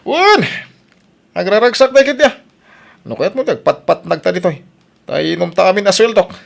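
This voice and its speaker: A man's voice: sits high, expressive, moderate pace, vocal fry, full character voice. A male actor playing a troubled, self-pitying husband who drinks, in an Ilocano radio drama, speaking with an Ilocano accent. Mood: tipsy, boastful.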